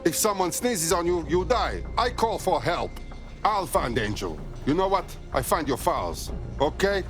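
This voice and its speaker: Brash male underground operative, fairly high voice, animated, clipped phrasing, game cutscene. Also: normal speed, faint music behind. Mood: brash, impressed. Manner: blunt, clipped phrasing with dropped words. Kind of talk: video game cutscene acting.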